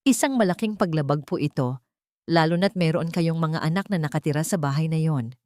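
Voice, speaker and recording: A woman's voice: deep voice, fairly quick, darkish tone, dry recording, clean studio sound, lightly acted. A woman voicing a serious lawyer in a story, speaking formally and politely with a Filipino accent. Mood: grave, explanatory. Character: serious, formal lawyer explaining bad news. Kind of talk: scripted story dialogue.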